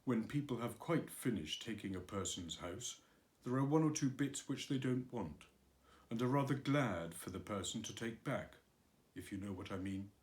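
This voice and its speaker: A man's voice: fairly low pitch, expressive, moderate pace, natural voice. A man voicing a gloomy, grumbling male donkey in a storybook reading, sighing and self-pitying.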